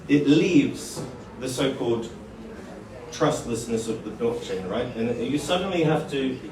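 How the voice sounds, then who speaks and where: Guy giving a talk in a big echoey hall, mic kinda far, some hiss. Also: mid-range voice. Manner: informal, addresses people as mate, restarts phrases. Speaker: conference speaker. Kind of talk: live presentation to an audience. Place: conference hall.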